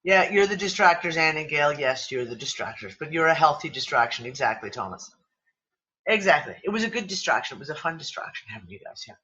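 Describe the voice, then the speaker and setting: A man hosts a loose online group chat over a video call, his bright, slightly high voice worn down, sniffly and on the edge of giving out. He rambles from point to point with exclamations and casual swearing. The stream audio sounds dull and lo-fi. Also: big pitch swings, a little fast. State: losing voice, sniffly. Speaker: livestream discussion host. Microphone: computer mic on a video call.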